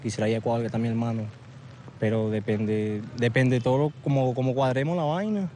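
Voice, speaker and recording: Fast-talking Venezuelan man, dark voice. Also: medium pitch, steady tone, a little hiss or hum. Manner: rambling, informal slang, repeats himself. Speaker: interviewee. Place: outdoor camp.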